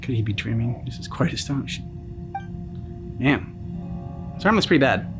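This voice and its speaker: A man's voice: mid-range voice, animated, moderate pace. A man giving casual, joking reaction commentary with a wry, irreverent manner. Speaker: reaction video host. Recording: game music behind commentary.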